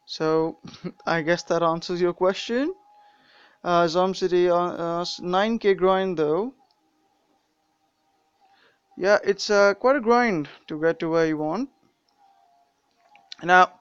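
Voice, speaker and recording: A man's voice: high-pitched, smooth, no room sound, dull audio. A young man with a mixed British and Indian accent, casually commenting on a mobile game during a live stream, rambling with many fillers and restarts.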